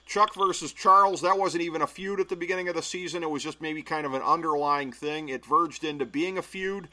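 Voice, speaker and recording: A man hosting a podcast, counting down a list and talking through it in a measured way with many "uh"s. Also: on the high side, bright, forceful tone, slight background noise. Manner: measured, filler-heavy analysis. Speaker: podcast host. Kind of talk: casual podcast chat.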